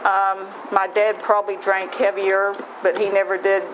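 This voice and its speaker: A woman with a West Virginian accent tells her recovery story in a folksy, rambling way, often restarting her sentences. Her voice sits at a middle pitch. Steady background noise runs under the live recording. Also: moderate pace. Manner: rambling, folksy, self-deprecating, frequent restarts. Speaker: speaker at a recovery meeting. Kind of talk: personal recovery share.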